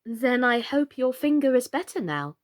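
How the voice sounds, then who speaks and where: Storybook girl of seven, played by a woman: polite, earnest, questioning, high and very expressive. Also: moderate pace.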